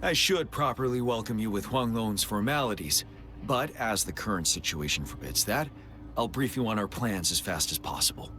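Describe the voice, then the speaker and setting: A man playing a composed, dutiful army general in a game, speaking in a formal, measured, solemn way. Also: fairly low pitch, expressive, sharp tone, faint music behind. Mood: courteous, formal. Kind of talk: English game cutscene voice acting.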